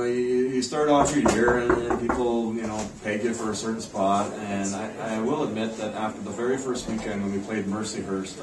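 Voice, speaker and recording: A North American man speaks as a coach at a press conference, in a low, level voice. He is measured and understated, pausing as he goes. The mic sits back from him in a noisy room. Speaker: head ice hockey coach. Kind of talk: post-game press conference answers. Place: indoor press room in front of a backdrop. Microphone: camera microphone at a distance. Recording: roomy, distant mic, clear background noise.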